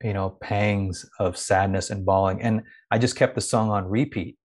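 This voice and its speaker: A man's voice: lowish voice, fairly even intonation, moderate pace, a little mellow. A man who grew up in India, now a young adult, speaking in a thoughtful, searching way as an interview guest, often restarting his sentences. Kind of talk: reflective conversational interview.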